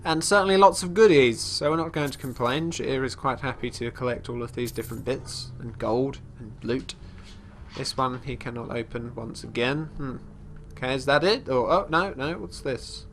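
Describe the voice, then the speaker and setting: Stuffy-nosed man, mid-pitched, casual rambling game commentary with a faint hiss behind. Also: moderate pace. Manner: rambling, chatty, often restarting sentences; talks about his character in third person. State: congested. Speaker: let's play host.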